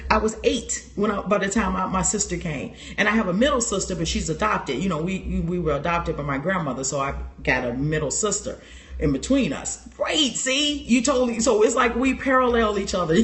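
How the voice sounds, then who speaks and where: A woman hosting a casual livestream on her phone, animated and laughing often as she talks straight to her viewers. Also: mid-range voice. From Chicago. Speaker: livestream host. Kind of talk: casual personal talk to viewers.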